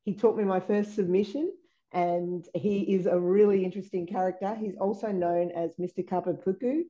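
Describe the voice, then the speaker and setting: A woman's voice: medium pitch, fairly even intonation, moderate pace, mellow, lo-fi sound. A woman hosting a podcast, introducing her guest in a casual, chatty way. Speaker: podcast host.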